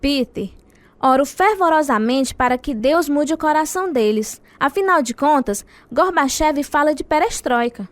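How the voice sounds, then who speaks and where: A woman's voice: sits high, animated, normal speed. A woman acting an earnest, devout wife and mother of a prisoner in a radio drama. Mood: tender, earnest. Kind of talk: radio drama narration and dialogue. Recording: music playing behind the voice.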